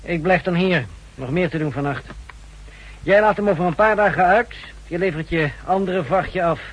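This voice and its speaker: A man's voice: high-pitched, normal speed. A man playing a commanding secret-service henchman who gives clipped orders in a 1960s radio drama. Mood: coldly commanding. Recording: faintly noisy 1960s radio recording.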